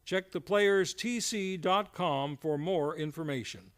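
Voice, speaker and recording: Guy with a highish voice doing formal old timey radio announcing, polished, reading from a script. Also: a little slow. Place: live on a theater stage.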